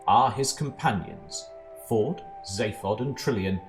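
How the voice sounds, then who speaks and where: A man playing a measured, deadpan narrator of absurd galactic tales in an amateur audio drama. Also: on the low side. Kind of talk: scripted comic sci-fi narration. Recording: music behind the voice.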